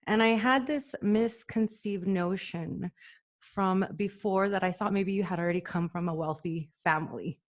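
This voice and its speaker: A woman's voice: mid-range voice, normal speed. A woman hosting a podcast, introducing her guest and asking questions in an upbeat, enthusiastic way. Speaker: podcast host. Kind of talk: casual interview.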